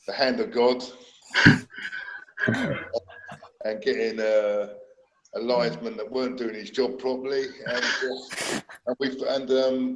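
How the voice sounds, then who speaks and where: A man's voice: mid-range voice, fairly bright tone, echoey room, a little hiss or hum, low-quality recording. A man chatting casually on a fan podcast, hesitant with frequent uhs. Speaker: podcast panelist. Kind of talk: casual chat.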